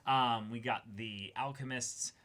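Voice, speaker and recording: A man's voice: medium pitch, steady tone, unhurried, fairly bright tone. A man co-hosting a casual unscripted discussion, talking in a wry, mock-serious way. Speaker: co-host of a reaction show.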